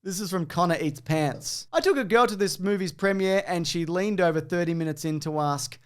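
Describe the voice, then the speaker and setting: A man's voice: high-pitched, brisk. A man hosting a casual quiz in a dry, teasing, joking way, chatting and reading bits aloud. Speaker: quiz host and podcaster.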